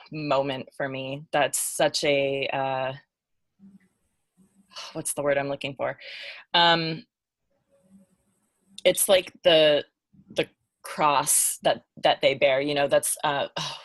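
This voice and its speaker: A woman's voice: deep voice, fairly bright tone, no room sound. A woman talking informally as a podcast panelist, thinking aloud and searching for her words as she goes. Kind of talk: casual unscripted discussion.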